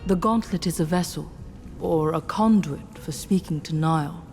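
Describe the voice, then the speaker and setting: A woman playing a solemn, earnest heroine in a fantasy game, speaking in a measured, serious way. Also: low voice, darkish tone. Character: solemn gauntlet-wielding heroine, earnest and steady. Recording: music behind.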